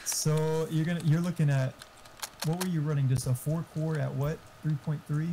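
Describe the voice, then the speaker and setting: A man's voice: a little high, steady tone, moderate pace, warm tone, slight background noise. A man chatting casually in an enthusiastic, slangy way during a game. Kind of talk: casual chat during gameplay.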